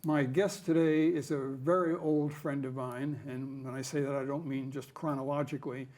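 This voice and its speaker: A man's voice: medium pitch, steady tone, moderate pace, darkish tone. A man in his eighties hosting a casual interview, breaking in with follow-up questions. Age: 80s. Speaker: host.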